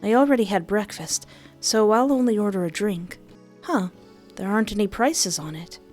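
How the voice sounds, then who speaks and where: A woman's voice: normal speed, soft background music. A woman reading a visual novel aloud, voicing the narration and the dialogue expressively. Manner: expressive, shifts pitch for each character.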